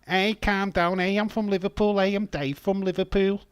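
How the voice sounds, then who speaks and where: A man's voice: high-pitched, flat delivery, moderate pace, smooth, no room sound, studio quality, voice pushed up, natural voice. A Yorkshire man voicing a cheery Liverpool lad heading to the pub, in a put-on Scouse accent, brash and sing-song.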